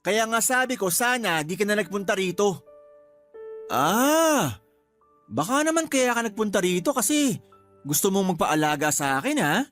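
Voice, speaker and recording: A man's voice: high-pitched, very animated, normal speed, long pauses, fairly bright tone, faint music behind. A man playing a sulky, complaining young boyfriend in a radio drama, whiny and exasperated in manner. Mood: defensive, sulky. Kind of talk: Tagalog radio drama acting.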